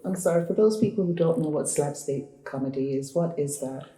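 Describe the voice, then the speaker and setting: A male interviewer with a fairly high, mellow voice. He asks questions and listens attentively, murmuring "mm-hmm" as his guest talks. The room is echoey. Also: a little fast. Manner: attentive, frequent "mm-hmm" backchannels.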